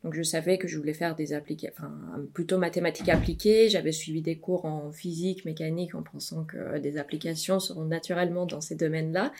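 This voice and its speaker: Brief: middle-aged woman from Iran, slightly low voice, thoughtful, halting answers full of fillers. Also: fairly even intonation, a little mellow. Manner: many filler pauses, thoughtful. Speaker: research mathematician, interviewee. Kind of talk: hesitant interview answers.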